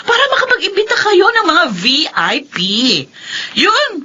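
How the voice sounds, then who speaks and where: A woman's voice: very high-pitched, wildly swooping pitch, moderate pace, sharp tone, exaggerated voice. An actress playing a boastful, vain, condescending well-off woman in a Filipino radio drama. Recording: dull lo-fi audio with clear hiss.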